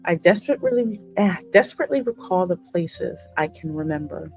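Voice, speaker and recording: Low-pitched woman narrating a game expressively. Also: expressive, a little fast, darkish tone. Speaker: gaming channel host. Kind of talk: reading game dialogue aloud with casual reactions. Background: game music.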